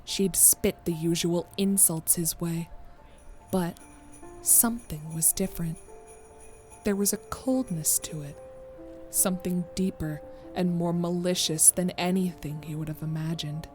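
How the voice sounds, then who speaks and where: A woman's voice: lowish voice, fairly even intonation, unhurried, a little mellow, faint music behind. A woman narrator reading a scripted story.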